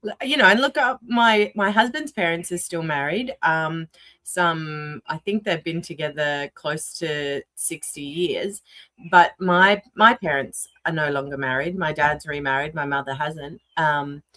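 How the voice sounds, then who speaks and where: A woman's voice: low-pitched, a little slow, fairly bright tone. A middle-aged woman with an Australian accent, talking reflectively and candidly as a guest in a conversational interview. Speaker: podcast guest.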